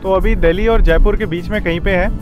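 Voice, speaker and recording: A middle-aged man with an Indian accent, calmly narrating a family road trip as a vlogger. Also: sits high, fast talker. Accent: Indian English. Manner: relaxed, matter-of-fact, mixes Hindi and English. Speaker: travel vlogger. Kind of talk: casual travel narration. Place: roadside stop outdoors and in a car. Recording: music playing under the voice.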